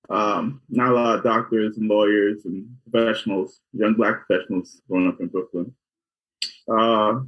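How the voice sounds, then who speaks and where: A husky, low-voiced man in his forties, originally from Guyana, answers interview questions. He recounts his life in a plain, matter-of-fact way. His audio sounds dull and lo-fi. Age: 40s. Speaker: doctor, interview guest.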